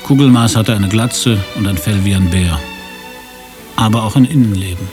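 A male narrator with a fairly low voice tells a story for radio drama. His delivery is dry and literary, and music plays clearly behind him. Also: normal speed. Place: radio studio.

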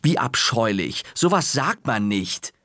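A man's voice: medium pitch, very animated, unhurried, pushed and bright, dry recording, clean studio sound. A man voicing a patient but exasperated mother in a storybook reading, chiding in a raised voice.

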